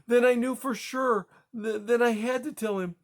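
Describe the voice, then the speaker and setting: Tearful grieving woman, read by a man, high pitched, halting and breathless. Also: steady tone. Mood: anguished. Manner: breathless, stammering, rising to hysteria. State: crying.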